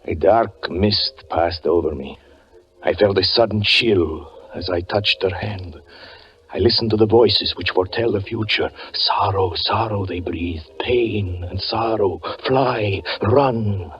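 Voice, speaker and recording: An actor playing a haunted, doom-laden fortune teller, narrating gravely and haltingly in 1940s radio drama style. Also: male voice, fairly low pitch. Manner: grave, halting, melodramatic delivery. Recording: muffled 1940s radio recording with music.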